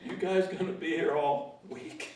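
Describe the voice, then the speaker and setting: A man's voice: on the high side, steady tone, measured pace, recorded from a distance, close to an everyday voice. A man reading a friendly fisherman in his forties at a screenplay table read. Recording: very echoey room, off-mic, faint noise.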